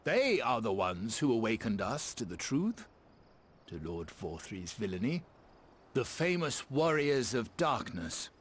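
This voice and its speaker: An actor playing a nervous but high-minded middle-aged gentleman mayor in a video game, formal and oratorical with a tendency to stammer. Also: sing-song, unhurried, husky. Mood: earnest resolve.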